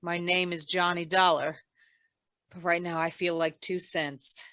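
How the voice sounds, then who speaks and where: Woman doing hard-boiled lady investigator, lowish voice, dry wisecracks, close dry sound, radio noir thing. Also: unhurried, dry recording. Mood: dry, probing. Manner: deadpan, wisecracking. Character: wry hard-boiled female insurance investigator. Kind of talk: old-time radio noir detective acting.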